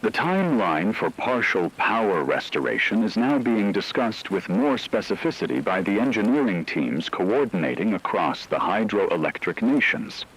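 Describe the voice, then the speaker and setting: A man reading a scripted emergency radio broadcast in a grave, measured, formal manner. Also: fairly low pitch, big pitch swings, fairly bright tone, breathy edge, rough recording.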